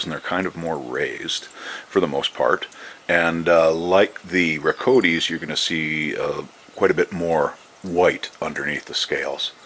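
A man foraging outdoors in a shady forest, explaining his finds to a handheld camera in a relaxed, rambling way with many ums and restarts. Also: deep voice. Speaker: foraging vlogger. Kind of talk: casual show-and-tell narration.

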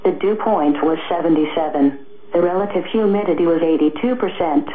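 A woman's voice: fairly low pitch, brisk. A synthetic woman's voice with an American accent reads scripted weather observations as a radio announcer, formal and impersonal. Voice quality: synthetic text-to-speech voice. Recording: dull, echoey, slightly noisy radio.

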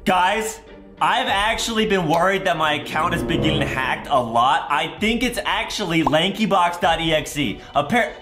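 Man in his twenties, excitable kids' gaming host, theatrical, high-pitched, swooping delivery, shouty. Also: moderate pace. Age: 20s. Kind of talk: exaggerated kids' gaming commentary. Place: small room at home, indoors. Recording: roomy echo with loud background music. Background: music.

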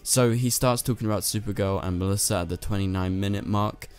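A young man with a hoarse, worn-out voice, hosting a chatty commentary video and talking straight to his viewers. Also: lowish voice, fairly quick. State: lost his voice. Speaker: channel host. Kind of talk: casual commentary to camera. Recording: soft music underneath.

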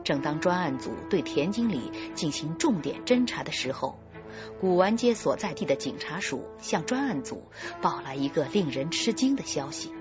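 Low, lively female drama narrator over music. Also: lo-fi sound. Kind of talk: scripted suspense narration.

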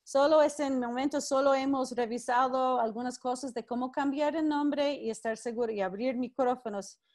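A woman runs a live online class, her voice set high as she greets people by name and gives friendly, firm instructions. The sound comes through a video call. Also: steady tone, moderate pace, a little mellow. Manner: friendly, directive, calls participants by name. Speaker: teacher leading an online class.

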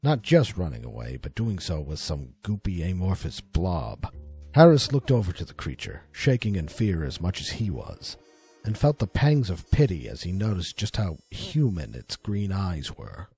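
Dude doing dramatic story narration, suspenseful, dark mellow voice, faint music behind. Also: male voice, low voice, big pitch swings, moderate pace, husky. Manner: suspenseful, theatrical.

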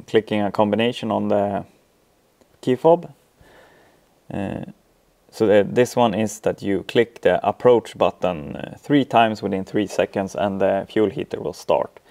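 A man in his thirties with a Swedish accent, giving an informal, halting software walkthrough full of ums. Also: lowish voice, gravelly. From Sweden. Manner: hesitant, halting delivery with frequent ums. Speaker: tutorial presenter.

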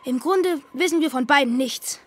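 A performer with a high voice playing a sulky, sceptical, needling young boy who grumbles and challenges, in a German audio drama. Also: moderate pace, slight background noise, close to an everyday voice. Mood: suspicious, challenging.

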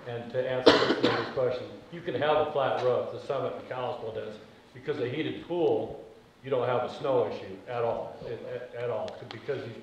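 A man in the audience making a comment at a community meeting, halting and restarting his phrases. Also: mid-range voice, noisy background. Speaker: audience member speaking up. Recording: very echoey hall, speaker far from the mic, muffled.

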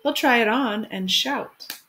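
A woman's voice: a little high, slow, pushed and bright. A woman in her thirties, an elementary school teacher speaking expressively and simply to children, as when reading a picture book. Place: small room at home. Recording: home webcam recording with faint noise.

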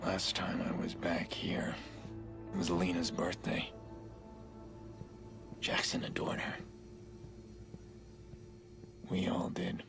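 A man in his thirties playing a brooding, protective big brother in a video game, understated, with a gruff gravelly voice. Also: deep voice, completely flat delivery, slow pace, pauses often, in a whisper, heavily acted. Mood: somber, regretful. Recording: music and noise behind.

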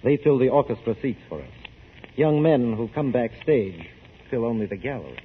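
A man's voice: mid-range voice, lively intonation, moderate pace, close to an everyday voice. Man acting suave, stern mentor, tinny old radio. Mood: cool, admonishing. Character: stern, suave, controlling older male opera mentor. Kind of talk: 1940s radio drama acting. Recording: tinny, faintly noisy 1940s radio broadcast.

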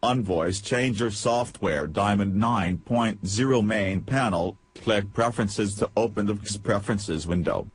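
A man with a fairly low, husky voice narrates a software tutorial. He reads scripted step-by-step instructions very slowly, in a stilted manner. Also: no room sound.

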